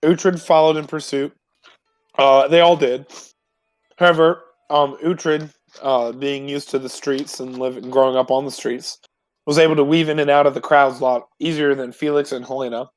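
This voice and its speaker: Halting man recapping over music, dry sound. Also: medium pitch, flat delivery, dry recording, rough recording. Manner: halting, self-correcting storytelling. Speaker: tabletop roleplay player. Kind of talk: informal recap of a previous game session.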